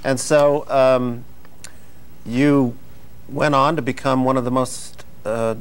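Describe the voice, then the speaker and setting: Hesitant male comedy interviewer, medium pitch. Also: fairly even intonation, slow, smooth. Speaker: interviewer, host. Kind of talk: mock-serious comedy interview.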